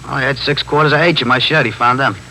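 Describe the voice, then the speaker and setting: An actor playing a nervy, talkative small-time drug pusher in a 1950s radio drama, rambling on in streetwise slang and justifying himself. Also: male voice, mid-range voice, fairly even intonation, fast talker, bright, forceful tone. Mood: rambling, rueful. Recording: noisy 1950s radio broadcast recording with hum.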